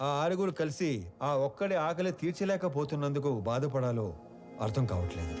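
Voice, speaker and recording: An actor playing an earnest, demanding company boss, formal and firm, in a film drama. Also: male voice, fairly high pitch, natural voice. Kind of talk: film drama dialogue and speech. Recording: loud film score over dialogue.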